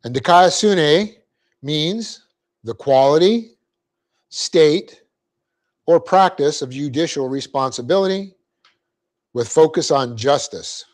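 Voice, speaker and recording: A man teaching a Bible class, explaining Greek grammar step by step, spelling out words and clearing his throat often. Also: on the high side, animated, slow pace, pauses often. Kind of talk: verse-by-verse Bible class lecture.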